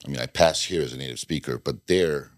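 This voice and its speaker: A man's voice: a bass voice, brisk, no echo. A middle-aged man from Bosnia, a guest telling his story in a casual interview, rambling and restarting sentences with dry jokes. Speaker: podcast guest, former undercover FBI agent.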